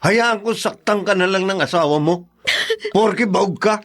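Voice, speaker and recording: A man's voice: high voice, a little slow, a bit bright. A man playing an indignant, protective older brother in a radio drama. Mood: angry. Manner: heated, indignant.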